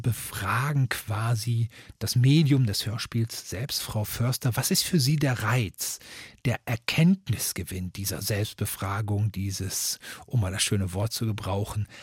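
A man's voice: on the low side, a little slow, breathy edge, no room sound, clean studio sound. A man hosting a discussion with formal, scripted moderation and questions to guests. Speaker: host and moderator.

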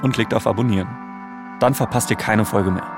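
A man's voice: fairly low pitch, a little fast. A man with a standard German accent reading scripted narration as a podcast co-host. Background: music bed.

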